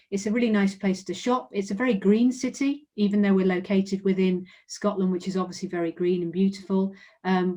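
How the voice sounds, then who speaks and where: English woman, lowish voice, friendly university presenter. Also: darkish tone. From England. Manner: friendly, informative, frequent "um" fillers. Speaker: university representative. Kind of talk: online presentation.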